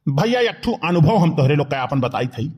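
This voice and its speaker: A man's voice: a little high, sing-song, brisk, a little mellow, husky. A man voicing a teasing village fellow in a comedy cartoon, speaking colloquially with an Awadhi accent. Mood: casual, matter-of-fact.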